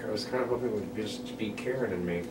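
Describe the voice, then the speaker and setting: A man's voice: lowish voice, fast, natural voice. A man reading the part of a grumpy, sarcastic man in a dry, exasperated way at a comedy table read. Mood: sheepish. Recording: roomy, distant mic, noisy room.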